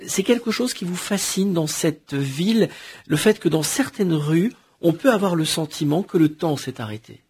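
A man hosting a radio book interview, asking formal, measured questions. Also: on the high side, lively intonation, a little mellow, breathy edge. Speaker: radio host.

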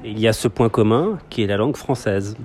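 A man's voice: fairly low pitch, brisk, a little mellow. A man working as a reporter, putting interview questions and linking between guests in a journalistic style. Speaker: radio or TV reporter.

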